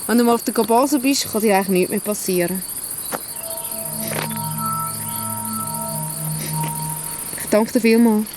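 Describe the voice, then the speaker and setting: A woman's voice: a little high, fast, music in the background, close to an everyday voice. A woman voicing a brave, wary fugitive creature in a children's radio play, with a Swiss German accent. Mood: grateful, reassuring.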